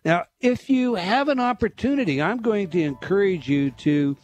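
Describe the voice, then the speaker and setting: Man, radio host, promotional tone, hesitant, slightly high voice. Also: animated, normal speed, darkish tone. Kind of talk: talk radio hosting.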